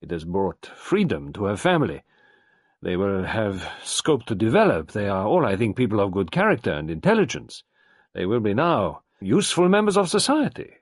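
A man voicing an earnest middle-aged French doctor who speaks haltingly and thoughtfully. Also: fairly low pitch, big pitch swings, no room sound, clean studio sound. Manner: halting, thoughtful, with hesitant fillers.

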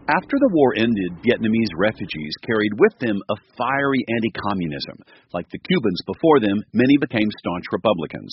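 A man reporting for radio news, telling the story in a measured, scripted narration. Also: highs cut off, slight background noise. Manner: measured storytelling delivery with lively pitch.

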